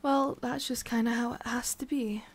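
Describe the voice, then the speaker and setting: A woman playing a centuries-old forest witch in an ASMR roleplay, haughty but secretly soft, speaking in a halting, musing way with a prickly edge. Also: a little high, steady tone. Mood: fond, musing. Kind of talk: ASMR roleplay, addressing the listener.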